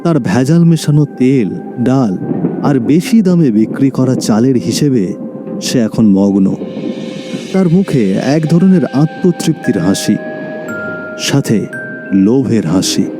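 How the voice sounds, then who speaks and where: A man's voice: mid-range voice, expressive, a little slow, pauses often, music in the background. A man narrating a scripted cartoon story in a dramatic storytelling style.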